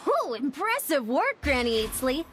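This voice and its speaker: A woman voicing a confident, friendly young female warrior in an anime game, brisk and self-assured. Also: high-pitched, very animated, fairly bright tone, a little hiss or hum. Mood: confident, playful. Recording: game music behind the voice.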